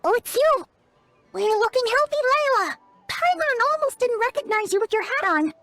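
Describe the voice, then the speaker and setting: A woman voicing a tiny, childlike, perky and blunt fairy companion in a video game, with a squeaky voice and exclamatory delivery. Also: expressive, normal speed, a bit bright, heavily acted. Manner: refers to self in third person, exclamatory. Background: music.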